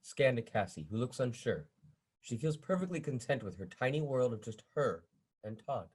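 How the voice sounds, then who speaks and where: A man's voice: mid-range voice, big pitch swings, moderate pace, a little mellow, slightly rough. A man reading scene descriptions and stage directions as narrator of a scripted table read.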